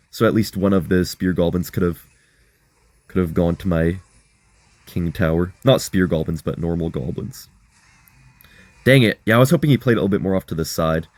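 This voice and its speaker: A young man with a deep, hoarse voice commentates his own gameplay in a loose, chatty way. He talks straight to his viewers and gets excited at good plays. The sound is close and dry, with no room around it. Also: no room sound. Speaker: gaming content creator.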